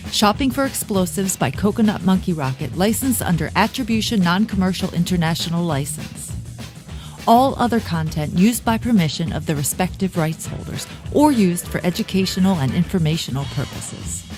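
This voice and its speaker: Casting: female credits announcer, mid-range voice, scripted read over prominent music. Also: mid-range voice.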